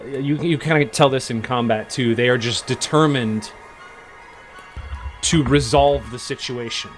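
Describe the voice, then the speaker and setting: A man narrating as game master, describing scenes with many pauses and fillers. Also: medium pitch. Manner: hesitant, many ums and uhs.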